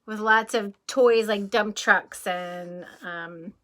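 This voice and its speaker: A woman kindergarten teacher reading a rhyming picture book aloud in a slow, sing-song way, pausing to ask the children gentle questions. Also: mid-range voice, fairly even intonation.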